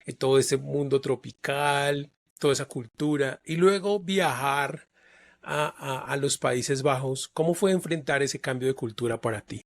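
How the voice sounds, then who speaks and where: A man's voice: medium pitch, measured pace. A man hosting an interview podcast, greeting and questioning his guest in a friendly, formal way. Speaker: podcast host.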